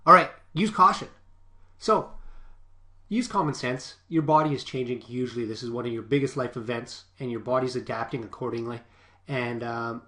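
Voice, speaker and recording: Man, personal trainer, stuffy nose, sniffly, chatty advice, mid pitch. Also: sharp tone. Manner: chatty, often adds "okay?" to checks. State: stuffy, sniffly from a nasal infection. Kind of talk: casual advice vlog.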